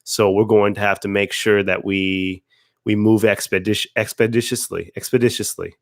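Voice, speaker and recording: A man with a raspy voice from a cold, hosting a casual livestream, greeting viewers by name and restarting words as he talks. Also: on the low side, little pitch movement, normal speed, no echo, clean studio sound. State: hoarse from a cold. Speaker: livestream host.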